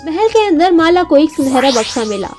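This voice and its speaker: A woman with a high-pitched voice reads a children's story. She narrates from a script as a bedtime storyteller. Soft music plays underneath. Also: sing-song, a little fast.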